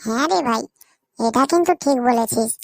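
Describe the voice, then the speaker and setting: A crude, bossy young village lad hatches schemes in a very high voice, as a woman acts him out. The sound is dry and close, and he speaks in a comic cartoon. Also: fairly quick, creaky, no echo. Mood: scheming.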